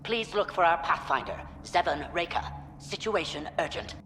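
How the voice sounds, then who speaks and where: Urgent alien captain, animated pitch. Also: male voice, normal speed. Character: urgent alien starship captain sending distress message. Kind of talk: video game voice acting. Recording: echoing, noisy distress message.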